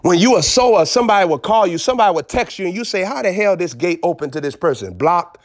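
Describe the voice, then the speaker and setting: Male preacher, high-pitched, emphatic and scolding, dry close-mic sound. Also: animated, fast, no room sound. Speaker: prophet preacher. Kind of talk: live streamed sermon.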